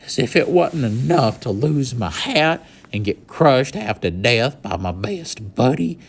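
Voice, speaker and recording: A man's voice: mid-range voice, lively intonation, measured pace, vocal fry, breathy edge, pitched above the performer's own voice, exaggerated voice. A man voicing a tiny, self-pitying cowboy with a twangy drawl. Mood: bitter.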